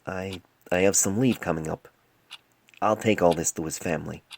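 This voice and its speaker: A man's voice: low-pitched, moderate pace, gravelly. A man voicing an earnest, hesitant young male pony doctor in an audio storybook, recorded on a phone. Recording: noisy amateur cell phone mic recording.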